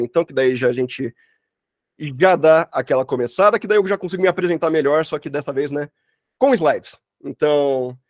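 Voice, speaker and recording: A man's voice: fairly high pitch, no room sound, clean studio sound. A man teaching an online workshop in an informal, chatty way full of slang and filler tags. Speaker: workshop instructor, sound designer. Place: online video call.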